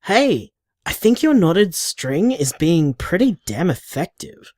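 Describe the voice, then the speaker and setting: An upbeat, affectionate bar co-owner who gushes about the place and its people, as an actor voices them. The voice sits at a middle pitch with lively, sing-song movement. The sound is dry and close. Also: takes it slowly, dry recording. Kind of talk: audio drama voice message.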